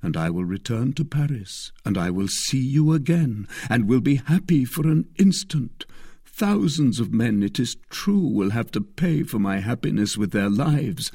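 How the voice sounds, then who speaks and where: A man's voice: mid-range voice, lively intonation, measured pace, warm tone, slightly rough, dry recording, clean studio sound. A man voicing an ardent lovestruck nobleman, declaiming passionately in a dramatic audiobook reading. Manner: impassioned, declamatory.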